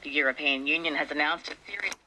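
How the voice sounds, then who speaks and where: A woman's voice: deep voice, fast, pushed and bright. An Australian woman reading a scripted news bulletin, heard through a noisy radio.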